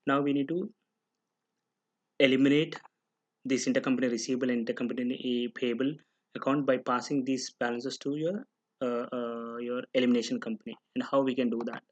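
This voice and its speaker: A man narrates a software tutorial as an instructor, explaining step by step and often posing questions that he then answers. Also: medium pitch, level tone, fairly quick, dry recording, dull audio. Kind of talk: screen-recorded tutorial narration. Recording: noticeable background noise with clicking.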